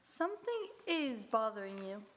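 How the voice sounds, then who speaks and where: An actress playing a loyal young secretary who talks in a concerned, eager way. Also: female voice, on the high side, big pitch swings, moderate pace, a bit bright, a little hiss or hum. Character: loyal young female secretary, earnest and attentive.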